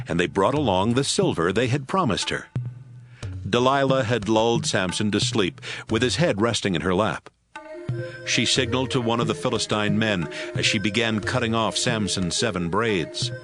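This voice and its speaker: A man giving scripted narration for a Bible audio drama. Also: fairly low pitch.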